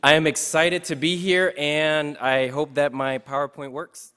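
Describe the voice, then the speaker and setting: A man's voice: a little high, little pitch movement, unhurried, fairly bright tone, clean tone. Upbeat man preaching in a reverberant church. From Puerto Rico. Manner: upbeat and conversational, with many uhs and restarts. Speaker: guest preacher. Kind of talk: casual sermon to a congregation. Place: church sanctuary. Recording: long church-hall reverb.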